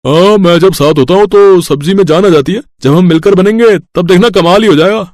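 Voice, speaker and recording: A little talking vegetable from a cartoon tale, put on by a man. The voice is pitched far up and loud, with a plaintive, hopeful temper. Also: brisk, no room sound, studio quality, natural voice. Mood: eager, hopeful. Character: talking vegetable, plaintive yet hopeful, longing to be cooked together.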